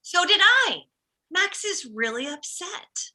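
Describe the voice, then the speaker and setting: A woman's voice: extremely high pitch, sing-song, slow, sharp tone, full character voice. A woman playing an anxious, overprotective middle-aged mother with a combative streak, in a comedy script read. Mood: anxious, prying.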